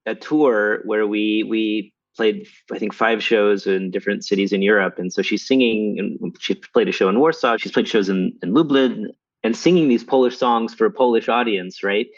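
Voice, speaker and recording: A man's voice: on the low side, moderate pace, no echo. A male archivist speaking as an interview guest, emphatic and admiring. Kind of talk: conversational interview. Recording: distant, dull-sounding mic.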